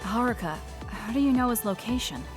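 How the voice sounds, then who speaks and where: A young woman playing a composed, blunt and earnest platoon fighter in an anime game dub, with a measured, matter-of-fact delivery. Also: a little high, lively intonation, music in the background, natural voice. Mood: concerned.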